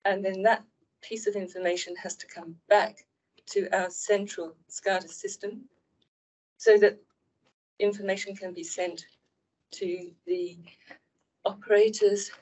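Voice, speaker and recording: A New Zealand woman talks through a technical system for a council in a quiet, measured way. Her pitch sits in the middle of the range. The sound comes from a large meeting room and is picked up by table mics. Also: flat delivery, rough recording. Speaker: asset information manager.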